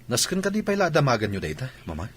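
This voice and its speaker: A man's voice: medium pitch, huge pitch swings, fast talker, a bit bright, slight background noise. A man acting a jealous, brusque husband in a radio drama. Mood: defensive.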